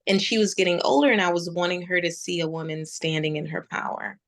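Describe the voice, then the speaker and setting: A woman in her forties speaking as a meditation teacher and interview guest, telling her story conversationally with reflective, halting pauses. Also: lowish voice. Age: 40s.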